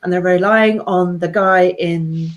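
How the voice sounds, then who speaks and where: Woman making steady points on a panel. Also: on the low side, a little slow. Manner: steady, explanatory, makes firm points. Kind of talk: casual panel discussion.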